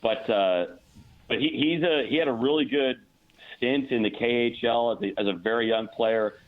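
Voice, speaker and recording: Over a narrow, phone-like connection comes an American man with a mid-pitched voice. He talks as a sports radio guest analyst, halting and repeating words as he works through his thoughts. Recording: dull, narrow-band phone-like line.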